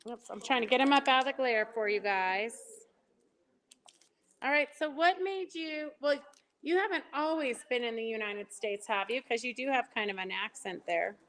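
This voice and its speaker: Woman interview host, fairly high pitch, chatty and encouraging. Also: normal speed, a bit bright. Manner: chatty, lots of encouraging backchannels. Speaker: show host. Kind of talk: casual interview chat.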